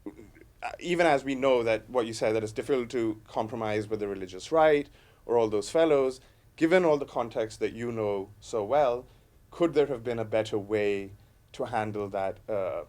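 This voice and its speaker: A man speaking up from the audience at a talk. He lays out his question in a measured, step-by-step way, adding qualifications as he goes. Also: medium pitch, a little mellow. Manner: measured, carefully built question. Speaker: audience member asking a question.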